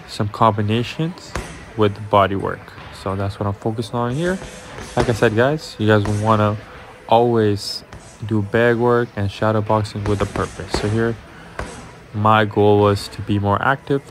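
Lowish man talking through a workout. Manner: instructive, addresses viewers as guys. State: has a cold, congested. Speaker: amateur boxer and fitness vlogger. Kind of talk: voice-over workout walkthrough.

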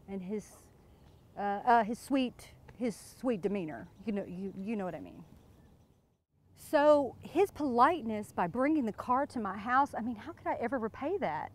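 A woman's voice: mid-range voice, slight background noise, close to an everyday voice. An American woman, probably in her thirties, playing a flirty, giggly, boastful glamorous wife in an improvised, gushing mock interview. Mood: gushing, suggestive. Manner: coy, gushing, suggestive, giggly. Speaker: interviewee in character. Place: outdoors beside a lake.

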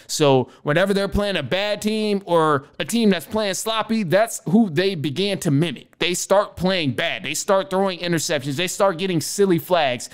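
Emphatic high-voiced young man podcasting. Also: normal speed, few pauses, smooth. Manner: emphatic, repetitive, stammering restarts. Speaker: podcast host. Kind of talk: casual sports commentary. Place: home studio room. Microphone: studio mic with headphones.

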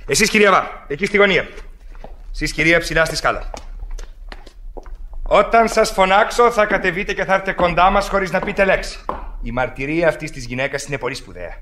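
A man's voice: on the high side, pauses often, bright, forceful tone, a little hiss or hum. A man playing a stern police inspector who barks orders in an old radio play. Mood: commanding. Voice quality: commanding, barking orders. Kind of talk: radio drama acting.

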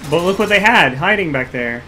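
A man's voice: sits high, animated, fairly quick, pushed and bright, slight background noise. A man co-commentating on a video game, giving the player casual directions and banter.

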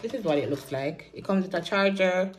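Young Jamaican woman, home vlogger, lowish voice, relaxed chatty delivery, phone at arm's length. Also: fairly quick, a little hiss or hum. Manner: chatty, relaxed, slips into patois. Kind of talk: casual vlog chat to camera. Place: small home bathroom and bedroom, indoors. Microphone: phone camera at arm's length.

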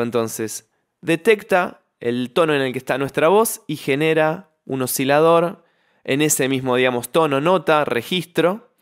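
Youngish guy doing a software tutorial, bit high voice, close mic. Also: clear, resonant tone. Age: young adult. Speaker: music production tutorial host. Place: small home studio with foam panels. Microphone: close studio microphone on a stand, with headphones.

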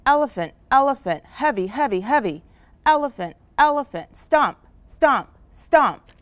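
A woman's voice: high voice, expressive, a little slow, a bit bright, no echo, pitched above the performer's own voice. A woman voicing a big, boastful, bossy elephant in a children's story, with emphatic delivery. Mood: chanting, excited. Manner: emphatic, chanting, declaring.

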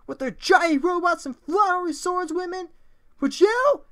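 Man putting on a high-voiced fierce warrior woman. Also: measured pace, a bit bright. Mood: furious, shouting. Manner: dramatic, declamatory, menacing. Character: fierce, proud female fish-warrior captain. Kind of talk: character voice acting of video game dialogue.

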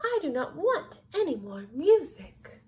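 Woman acting weary female storybook mouse, high pitch, slow, plaintive, whining, pleading. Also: big pitch swings, a little mellow, slight background noise. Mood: annoyed, sleepy. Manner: plaintive, whining, drawn-out words. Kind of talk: children's storybook read-aloud.

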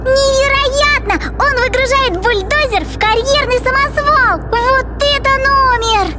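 Very squeaky, curious little cartoon girl. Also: a little slow. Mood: excited. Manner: exclamatory, inquisitive. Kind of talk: children's cartoon voice-over. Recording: noisy engine sounds behind.

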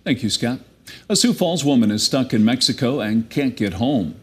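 A man reading local news as a co-anchor in a television studio, with a crisp, formal delivery. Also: mid-range voice, lively intonation, normal speed.

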